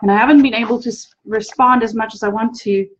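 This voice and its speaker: A woman from South Africa with a South African accent, a native Afrikaans speaker, giving a reflective, unhurried casual talk as host. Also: a little high, steady tone, highs cut off, slight background noise. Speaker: hypnotherapist host.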